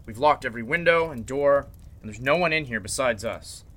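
A man playing a confident, suspicious, sharp-minded district attorney who takes charge, in an old-style radio drama. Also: mid-range voice, expressive, brisk. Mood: commanding, reassuring. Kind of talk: golden age radio drama acting.

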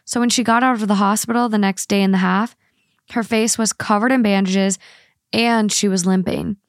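Woman co-host, average pitch, dry close sound, reads posts aloud steadily. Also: steady tone, normal speed, dry recording. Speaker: podcast co-host. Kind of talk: casual chat, reading posts aloud.

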